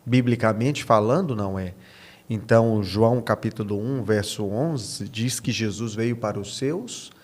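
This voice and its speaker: A man's voice: lowish voice, a little slow, darkish tone. A man with a Brazilian accent speaking as a pastor on a podcast, teaching conversationally, posing questions and answering them himself, repeating key phrases.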